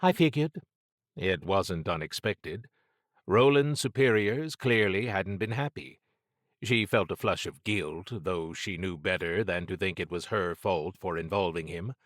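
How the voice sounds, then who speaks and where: Low-voiced male audiobook narrator, dry sound. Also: measured pace, fairly bright tone, dry recording. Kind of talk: scripted fiction narration.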